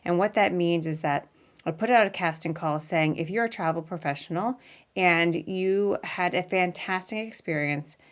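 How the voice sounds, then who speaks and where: A woman hosting a casual live video interview, chatty and quick to laugh. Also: on the low side. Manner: chatty, laughs often, apologetic at start. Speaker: podcast host.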